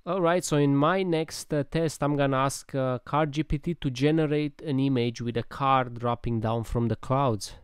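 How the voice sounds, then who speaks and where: A man's voice: medium pitch, steady tone, moderate pace, hardly any pauses, clear, resonant tone, no echo. A man narrating a casual app tutorial, hesitating with many fillers, recorded close to the mic. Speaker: tech tutorial host.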